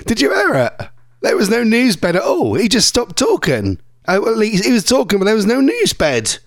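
A man's voice: sits high, wildly swooping pitch, normal speed, dry recording, clean studio sound. A man presenting a local radio show, rambling and self-mocking while he loses his voice. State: losing his voice. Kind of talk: casual live radio chat. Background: music bed.

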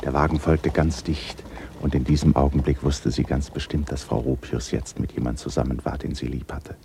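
A man narrating a literary radio drama in a measured, expressive storytelling manner. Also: very deep voice, creaky. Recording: noisy radio production with ambience. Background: noise, ambience.

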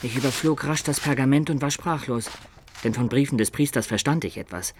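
A man's voice: medium pitch, fairly quick, a little hiss or hum, natural voice. An actor playing a cunning adventurer who tells his own past reflectively in a radio drama. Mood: stunned, bitter. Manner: reflective first-person storytelling.

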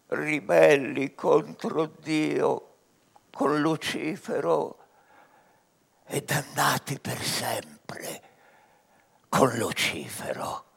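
Demon servant of hell, radio drama. Very slow, brooding and sly, with a creaky, gravelly voice. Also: male voice, medium pitch, heavily acted. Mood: mournful. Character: tormented demon servant of Lucifer, sly and bitter. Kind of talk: theatrical radio drama acting in verse.

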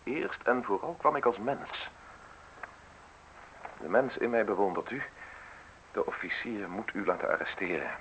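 An actor playing a guarded middle-aged public prosecutor in a radio drama, formal and measured in his speech. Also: fairly low pitch, expressive, fairly bright tone. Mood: earnest, evasive. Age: 40s. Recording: noisy old radio play recording.

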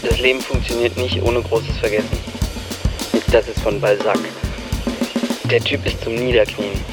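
An actor playing a wry young slacker who narrates in the first person, colloquial and confessional with hesitant asides. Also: male voice, mid-range voice, little pitch movement, moderate pace, loud music behind the voice, close to an everyday voice. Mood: wry, reflective. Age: young adult.

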